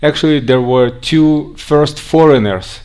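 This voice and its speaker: A man with a Russian accent, raised in Siberia, giving a conference talk in a halting way with frequent restarts. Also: on the high side, darkish tone, clear, resonant tone. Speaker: guest speaker.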